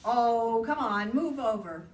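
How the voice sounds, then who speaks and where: Woman putting on a squawky bossy owl guy, hooty, way up high, echoey and kinda far off. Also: animated, slow. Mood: pushy. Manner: hooting, demanding. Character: bossy male owl, loud and pushy. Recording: echoey room, distant mic.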